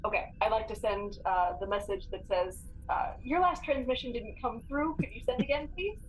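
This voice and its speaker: A woman's voice: fairly high pitch, moderate pace, slight background noise, low-quality recording. A woman playing a tabletop role-playing game, casually talking through her decisions.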